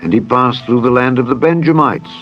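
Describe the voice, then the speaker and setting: A man narrating a dramatized scripture reading in a solemn, measured, formal manner. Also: medium pitch, lo-fi sound. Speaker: Bible narrator. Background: background music.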